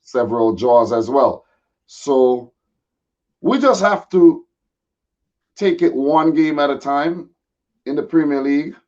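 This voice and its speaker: A man with a slightly raspy voice chatting casually and in a laid-back way as a guest football pundit. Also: a little high, expressive, slow, pauses often, a little mellow. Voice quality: a little raspy. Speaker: guest football pundit, Manchester City fan. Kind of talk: casual chat. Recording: dull, lo-fi audio.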